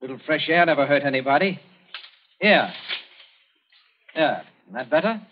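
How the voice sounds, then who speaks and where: An actor playing a shrewd young British gentleman in a vintage radio drama, speaking in a clipped, pointed, insinuating manner. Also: fairly high pitch, lively intonation, normal speed, a little hiss or hum, natural voice. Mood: pointed, suspicious. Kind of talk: 1940s radio drama acting. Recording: muffled vintage radio broadcast.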